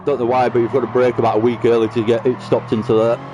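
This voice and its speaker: A man's voice: medium pitch, level tone, fast, dull audio, noisy background. A man giving casual, chatty commentary while playing a racing game, laughing often and teasing friends. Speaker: sim racing streamer. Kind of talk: casual live-stream gameplay commentary. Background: music.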